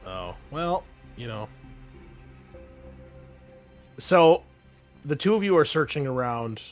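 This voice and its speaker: A man's voice: fairly high pitch, very animated, slow pace. A man narrating a tabletop game as game master in a casual, conversational, descriptive way. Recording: music playing underneath. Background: music.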